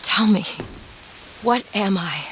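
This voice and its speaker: A woman's voice: medium pitch, animated, takes it slowly, fairly bright tone, a little hiss or hum. An actress playing an earnest, determined young woman in a dramatic video game, speaking with direct emotion. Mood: resolute. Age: young adult.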